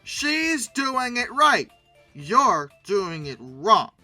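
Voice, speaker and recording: A commentator giving casual, humorous commentary in a rambling, animated, mock-indignant way, with conversational asides. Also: medium pitch, drawn-out words, dry recording, faint music behind. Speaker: host reviewing old dinosaur illustrations.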